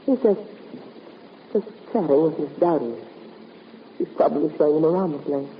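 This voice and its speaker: A woman's voice: sits low, moderate pace, close to an everyday voice. Actress as a level-headed woman, muffled old radio. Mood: earnest, reassuring. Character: concerned, level-headed female detective's assistant. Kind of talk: 1950s radio drama acting. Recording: muffled, noisy 1950s radio recording.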